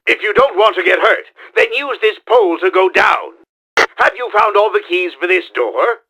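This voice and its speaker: A man playing a pompous, scheming middle-aged mad-scientist villain in a video game, with a gravelly, forceful, theatrical delivery. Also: sits high, expressive, moderate pace, dull audio, full character voice. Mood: boastful showman. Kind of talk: exaggerated video game voice acting.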